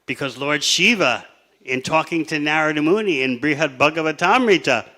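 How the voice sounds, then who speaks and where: A man's voice: a little high, moderate pace, pushed and bright. A man teaching a scripture class in a conversational way, asking his listeners questions and sometimes laughing. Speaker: spiritual teacher.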